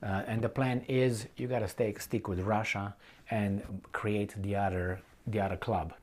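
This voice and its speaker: Man giving blunt, halting political commentary. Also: fairly low pitch, fairly even intonation, darkish tone. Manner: halting, repetitive, rhetorical questions addressed to the listener; colloquial, blunt. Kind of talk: unscripted opinionated monologue to viewers.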